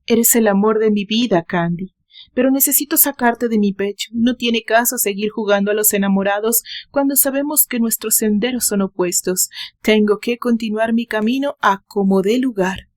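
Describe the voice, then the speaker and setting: A woman's voice: a little high, normal speed, darkish tone, natural voice. A woman voicing a heartbroken young man in love, bitter and resigned, with a dramatic, pleading delivery. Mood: resigned, pained.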